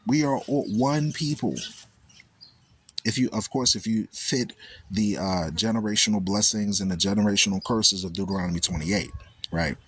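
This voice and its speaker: Husky-voiced man preaching casually. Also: on the low side, normal speed. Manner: animated storytelling, repeats "right?" often. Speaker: radio show host.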